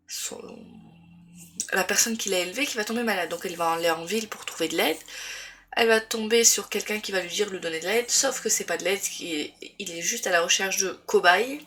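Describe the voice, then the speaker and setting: A woman's voice: mid-range voice, a bit bright. French woman with a head cold. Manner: rambling with many fillers and restarts. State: congested with a cold. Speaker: booktuber. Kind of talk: casual book review vlog.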